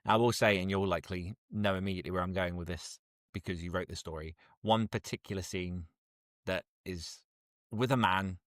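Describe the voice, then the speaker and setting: A man with a lowish voice, recorded with almost no room sound. He chats as an interview host in an informal, amused, joking way. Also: fairly even intonation, fairly quick, no room sound, clean studio sound. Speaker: podcast host. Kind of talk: casual interview chat.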